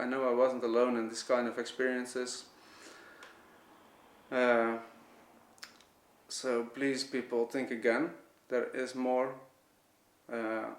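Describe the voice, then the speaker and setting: A man with a Dutch accent, a native Dutch speaker, giving an earnest, unscripted personal testimony, often halting and searching for words. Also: medium pitch. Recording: echoey, roomy sound.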